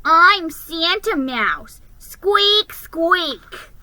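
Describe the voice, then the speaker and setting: A woman's voice: very high-pitched, lively intonation, extremely slow, sharp tone, dry recording, pitched above the performer's own voice, heavily acted. A woman voicing a grumpy, mocking little male Christmas elf in a storybook reading. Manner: mocking, snorting.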